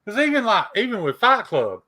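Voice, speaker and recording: A man's voice: high-pitched, huge pitch swings, fairly quick, bright, forceful tone. A man with a Southern US accent, co-hosting a casual podcast and pushing back in debate. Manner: laid-back, pushes back in debate. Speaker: podcast co-host. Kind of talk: casual chat.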